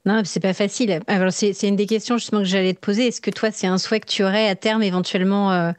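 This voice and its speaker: A woman with a medium-pitched voice hosts a casual interview. She speaks conversationally, and the sound is dry, with no room around it. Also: level tone, quick pace, dry recording. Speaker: podcast host, interviewer.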